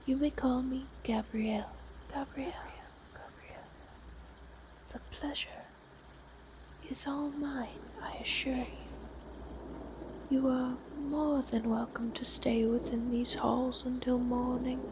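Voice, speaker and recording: A woman playing an elegant, lonely vampire countess in an ASMR roleplay, with a soft, breathy whisper and a formal, genteel manner. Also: high voice, steady tone, slow pace, long pauses. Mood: courteous, reassuring. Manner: formal, genteel, old-fashioned phrasing. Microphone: close binaural microphone. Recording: close binaural whisper with audible hiss.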